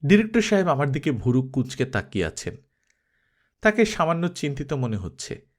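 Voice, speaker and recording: A man's voice: on the high side, sing-song, normal speed. A man reading a story aloud as an audiobook narrator, in scripted literary prose.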